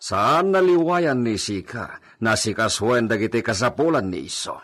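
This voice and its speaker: Brooding master for Ilocano radio drama, man acting: husky, unhurried, suspicious, big melodramatic swings. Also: medium pitch, lively intonation, a bit bright. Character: cold, suspicious master of the house.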